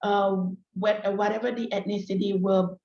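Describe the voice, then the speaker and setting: A woman who grew up speaking French introduces herself informally on a video call. She is earnest and a little hesitant, with a medium-pitched voice. The sound is roomy and somewhat dull. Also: level tone, moderate pace, darkish tone. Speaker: meeting guest, children's book author. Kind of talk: informal self-introduction and pitch at an online meeting.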